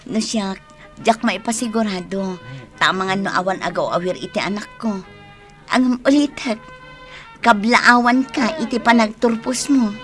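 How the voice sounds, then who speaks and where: A woman plays a regretful young single mother in an Ilocano radio drama. She speaks sincerely at middle pitch with a Filipino Ilocano accent, over clear music. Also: unhurried, a bit bright, close to an everyday voice. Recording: music behind dialogue.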